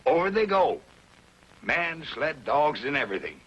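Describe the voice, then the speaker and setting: An actor playing a crusty, excitable old Yukon storekeeper who talks in folksy frontier slang, in a 1950s television western. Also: male voice, a little high, moderate pace, breathy edge, lo-fi sound, full character voice. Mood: gossipy, dramatic. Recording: noisy vintage 1950s television soundtrack.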